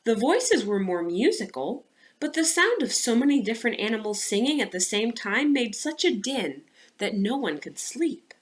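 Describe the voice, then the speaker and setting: Woman music teacher, expressive and instructive. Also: on the high side, moderate pace. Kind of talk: instructional presentation, then storybook read-aloud.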